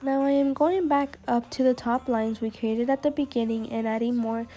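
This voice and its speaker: Young woman with high, mellow voice, slightly sick. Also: fairly even intonation, normal speed. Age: young adult. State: sick, voice affected by a cold. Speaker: makeup tutorial host. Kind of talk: tutorial voice-over narration. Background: background music.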